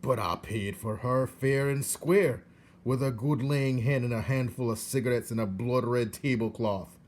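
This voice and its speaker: A man voicing a greedy, indignant old uncle who bursts out loudly and high. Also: a little slow, lightly acted. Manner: loud, exasperated outbursts.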